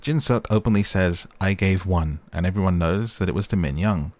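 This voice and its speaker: A man with a deep, low-pitched voice hosts a casual recap. He talks in a chatty way and slips wry asides into his scripted commentary. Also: moderate pace, a little mellow, dry recording. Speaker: host of a recap channel.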